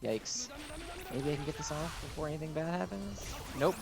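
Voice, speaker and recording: A man's voice: on the high side, moderate pace, gravelly, music in the background. A man hosting a gaming video with casual, gushing, enthusiastic commentary, often slipping "like" into his sentences.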